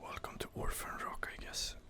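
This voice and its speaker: A man whispering soft, hesitant commentary while playing a video game, close to the microphone. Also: moderate pace. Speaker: gaming ASMR creator. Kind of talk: whispered ASMR gameplay commentary.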